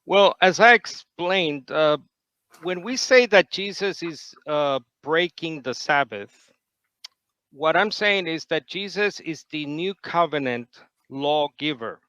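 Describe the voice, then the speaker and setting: Male debater, bright and crisp, dry and slightly dull audio. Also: fairly high pitch, animated, dry recording. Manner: measured, probing questions. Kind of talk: debate cross-examination.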